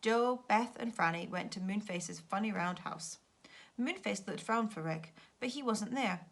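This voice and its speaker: A woman teacher reading a children's story aloud with expressive narration. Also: mid-range voice, unhurried, creaky.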